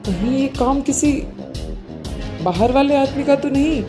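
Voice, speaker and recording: A woman's voice: high voice, lively intonation, a little slow, music in the background. A woman voicing a fretful, scolding older mother-in-law in a moral story. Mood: alarmed, fretful. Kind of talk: dramatized moral story dialogue.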